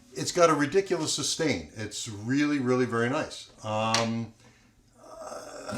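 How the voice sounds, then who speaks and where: A man reviewing an acoustic guitar in a relaxed, rambling way, with lots of fillers and a loud voice. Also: mid-range voice. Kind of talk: casual product review with playing. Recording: echoey room, guitar playing behind.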